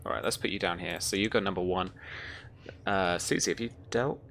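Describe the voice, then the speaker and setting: A game master running a tabletop roleplaying game, explaining rules step by step in a relaxed, informal way. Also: deep voice, a little fast, vocal fry, slight background noise.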